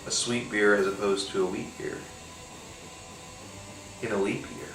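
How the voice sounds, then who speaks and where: A man's voice: lowish voice, flat delivery, a little slow, roomy sound, far from the mic, background noise. A man with a congested, nasal voice from a cold, rambling casually with lots of fillers and casual swearing while he tastes a beer. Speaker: podcast host. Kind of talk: casual solo beer-tasting chat.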